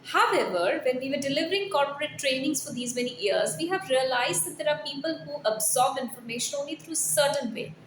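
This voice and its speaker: A woman's voice: high-pitched, expressive, fairly quick, few pauses, a bit bright. A woman, a corporate trainer reading a written piece aloud with personal asides in an emphatic, earnest, exhortative manner. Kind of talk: scripted blog read aloud with personal asides. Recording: roomy echo, faint background noise.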